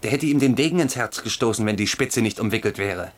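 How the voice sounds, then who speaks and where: An actor playing a cynical royal tutor in a radio play, measured, dry and mock-deferential. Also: male voice, medium pitch, animated, lightly acted. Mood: amused contempt. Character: cynical, dry courtly tutor needling his pupil.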